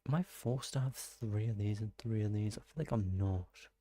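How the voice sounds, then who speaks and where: Gravelly, mellow-voiced man playing a game. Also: fairly low pitch, moderate pace. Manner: thinking aloud, muttering and exclaiming to himself. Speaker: gaming streamer playing and commenting. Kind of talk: casual live gameplay commentary.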